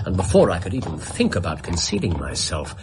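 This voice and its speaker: Victorian english detective, low voice, really animated precise storyteller, bit of noise behind. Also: male voice, a little fast. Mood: absorbed, dramatic. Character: brilliant theatrical male detective in Victorian London. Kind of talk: radio drama acting.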